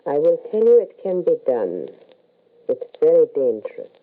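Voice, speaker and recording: A grave, mysterious spirit medium in a 1940s radio play, heard through a thin vintage recording. The formal, measured delivery rises and falls widely, full of cautious foreboding. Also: male voice, a little high, wildly swooping pitch, phone-quality sound. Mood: ominous warning. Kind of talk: 1940s radio drama acting.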